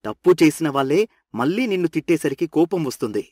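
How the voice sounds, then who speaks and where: A man voicing a concerned, protective young husband in an animated family story. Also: fairly high pitch, quick pace. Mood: indignant, explaining. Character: concerned, protective young husband, easily indignant for his wife.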